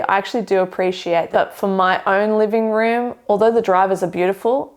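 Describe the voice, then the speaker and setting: A young woman seated in a living room, giving a measured, detailed review of speakers to camera. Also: fairly low pitch, vocal fry. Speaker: audio equipment reviewer. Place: living room with hi-fi shelves, indoors.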